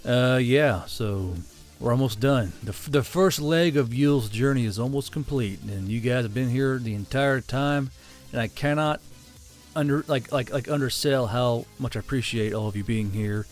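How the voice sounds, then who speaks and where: A man's voice: medium pitch, normal speed, faint music behind. A man hosting a channel, talking casually off the cuff and stumbling over his words. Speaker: channel host. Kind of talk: casual unscripted chat to viewers.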